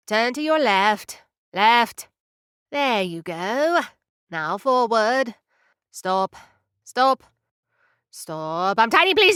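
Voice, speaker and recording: A woman's voice: medium pitch, fairly bright tone, clear, resonant tone, no echo, studio quality. A woman playing a curt tiny ship captain. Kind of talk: audio drama acting.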